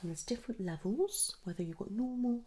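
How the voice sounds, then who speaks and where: A woman doing an ASMR medical roleplay as an audiologist, gently and reassuringly moving between breathy whispers and soft speech. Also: a little high, a little fast. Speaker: ASMR performer playing an audiologist.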